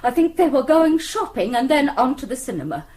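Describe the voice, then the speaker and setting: A woman's voice: sits high, big pitch swings, fairly quick, a little mellow. An actress playing an anxious, distraught middle-aged British mother in a radio drama, pleading and hesitant but insistent. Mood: subdued, hesitant. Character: anxious, distraught mother of a missing grown daughter.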